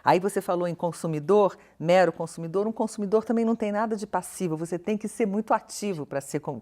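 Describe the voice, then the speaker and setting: A woman with a Brazilian accent and a notably low voice speaks as an academic being interviewed. She thinks aloud in an articulate, questioning manner and stresses her key words as she explains. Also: mellow. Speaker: interview guest, professor and researcher. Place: television studio interview.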